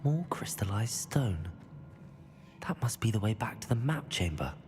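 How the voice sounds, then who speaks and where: A man's voice: lowish voice, sing-song, a little slow, over music. A man playing a curious, self-possessed young student wizard who muses aloud while exploring in a video game. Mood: curious musing. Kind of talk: video game voice acting, musing alone.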